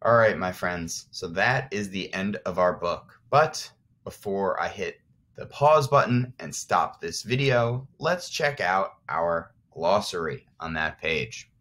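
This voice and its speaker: A man's voice: low-pitched, lively intonation, slow pace, fairly bright tone. An American man, a teacher of young children, reading aloud and explaining simply as he addresses the children directly. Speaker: first grade teacher.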